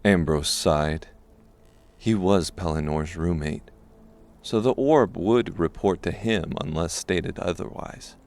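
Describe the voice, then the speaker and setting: Deep, gravelly male audiobook narrator. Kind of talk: scripted dark fantasy narration.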